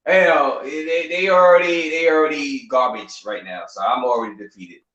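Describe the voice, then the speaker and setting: A man's voice: on the high side, moderate pace, fairly bright tone, reverberant, lo-fi sound. A man on a live stream, chatting casually, laughing often and repeating himself. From United States. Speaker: YouTuber guest on a live stream.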